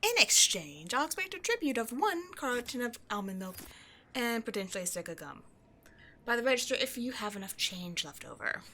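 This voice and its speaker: A woman's voice: a little high, very animated, brisk, pushed and bright, heavily acted. A woman playing a petty, theatrical ghost from an old horror film in a scripted comedic audio roleplay, mock-sinister and easily exasperated. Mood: chatty, scheming.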